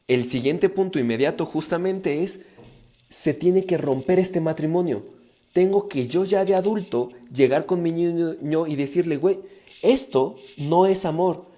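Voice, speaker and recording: A man with a Mexican accent speaks in a soft-edged, mellow timbre, pitched a little high for a male voice. He talks informally to a live audience online, explaining ideas about relationships with emphasis and slipping into imagined inner dialogue. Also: normal speed. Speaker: livestream speaker on relationships and psychology. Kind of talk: informal live self-help talk to viewers.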